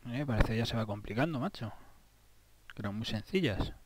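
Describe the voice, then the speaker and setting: A man from quietly muttering offhand commentary while playing a game. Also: medium pitch, expressive, normal speed, slight background noise. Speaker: gameplay commentator. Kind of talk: casual let's-play commentary. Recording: voice under loud game music.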